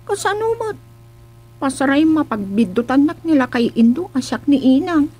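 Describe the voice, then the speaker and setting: A woman's voice: high-pitched, lively intonation, normal speed, dark tone. A woman with an Ilocano accent acting a young, naive village sweetheart, plaintive and bashful, in a comedic radio drama.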